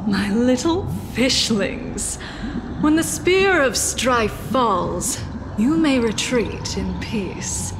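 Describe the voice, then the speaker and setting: A woman playing an ancient, sorrowful sea-mother titan in a game, speaking with solemn, regal weariness and gentle formality. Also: a little high, expressive, background noise. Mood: tender resignation. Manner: slow, solemn, formal speech. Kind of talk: solemn dramatic game cutscene acting. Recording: long cavernous reverb, music behind.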